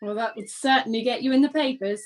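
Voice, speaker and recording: A woman playing an ageing, gentle Edwardian actress in a radio play, polite and reassuring. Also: fairly high pitch, fast, natural voice. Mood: gently chiding.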